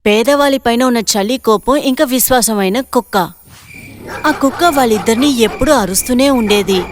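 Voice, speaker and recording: Female narrator, somewhat high-pitched, scripted storytelling for an animated moral tale. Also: moderate pace, faint music behind.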